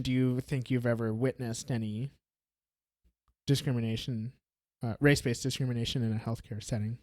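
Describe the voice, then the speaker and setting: A Brazilian-born man with an American accent hosting a casual podcast interview, relaxed and often restarting phrases. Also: mid-range voice. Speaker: podcast host.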